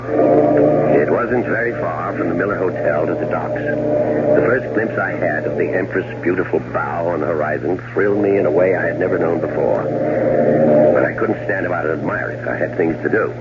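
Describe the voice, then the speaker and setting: An actor playing a cold, scheming older brother in a 1970s radio drama, measured, sly and theatrical. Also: male voice, low voice, natural voice. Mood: smug, calculating. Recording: muffled, noisy 1970s radio recording.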